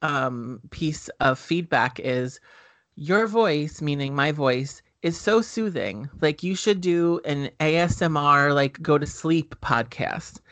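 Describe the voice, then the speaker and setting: A man co-hosting a casual podcast, talking in an expressive, animated way and laughing often. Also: fairly high pitch, slow, dry recording. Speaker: podcast co-host. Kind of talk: casual chat. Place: indoors.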